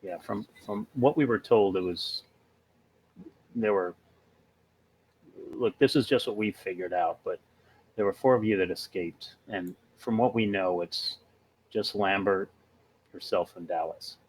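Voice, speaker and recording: A man playing a tabletop role-playing game, talking casually and haltingly as he works things out. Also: on the low side, breathy edge, low-quality recording. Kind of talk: casual in-character role-play chat.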